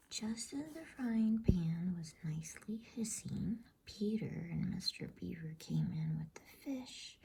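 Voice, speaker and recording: Slow, husky whispering woman storyteller. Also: low voice, low-quality recording. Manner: soft whispering, soothing hush. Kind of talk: ASMR bedtime story reading.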